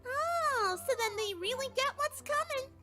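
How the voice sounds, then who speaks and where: Squeaky, very high bubbly pony girl. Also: animated, a little slow, bright, forceful tone, full character voice. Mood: cheerful. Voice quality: squeaky, childlike. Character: bubbly young female pony baker, sweetly sinister. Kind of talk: fan audio drama acting. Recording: music behind the voice.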